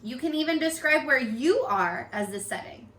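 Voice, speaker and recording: A woman with a fairly high, bright voice leads a children's read-aloud lesson. She speaks expressively and encouragingly, at an unhurried pace, like a teacher with young pupils. The sound is roomy, recorded in a living room at home. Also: animated. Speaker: elementary school teacher. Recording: roomy, echoey home video.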